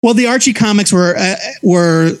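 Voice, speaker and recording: A man's voice: sits high, moderate pace. A man co-hosting a podcast, explaining things in detail in a casual, geeky, conversational way. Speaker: co-host of a comics review podcast. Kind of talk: casual review chat.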